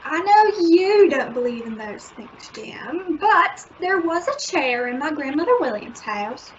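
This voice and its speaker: A woman playing an earnest, gently scolding wife and mother in an old-time radio sitcom, with a hesitant, fretful delivery. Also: high voice, sing-song, unhurried. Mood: reproving, fretful. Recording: muffled recording with clear noise.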